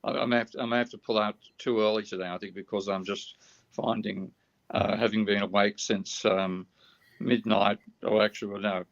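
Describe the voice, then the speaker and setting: Tired, gravelly man on a dull call. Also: fairly low pitch, flat delivery. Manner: halting, thinking aloud with restarts. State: tired, voice getting croaky. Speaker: guest economist. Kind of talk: explaining ideas in a live online discussion. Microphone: video call.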